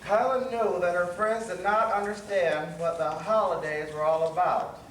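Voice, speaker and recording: A man's voice: high voice, steady tone, normal speed, a bit bright, distant mic. A man playing a storyteller who narrates a children's tale in a scripted stage show. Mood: storytelling. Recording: echoey, noisy hall from afar.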